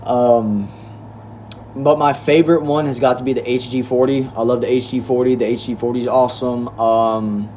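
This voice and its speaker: A man's voice: medium pitch, fairly even intonation, moderate pace, warm tone, a little hiss or hum. Laid-back young man streaming. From Texas, United States. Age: young adult. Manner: laid-back, chatty, addresses viewers as bro and y'all. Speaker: livestreamer reading chat. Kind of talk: casual livestream chat. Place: indoor room.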